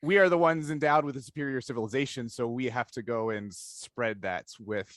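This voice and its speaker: Man, podcast interviewer, medium pitch, analytical, thinking aloud, conversational, very dry sound. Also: fairly quick, no echo. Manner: thinks aloud in long, analytical stretches, checking with "right?". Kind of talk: casual discussion interview.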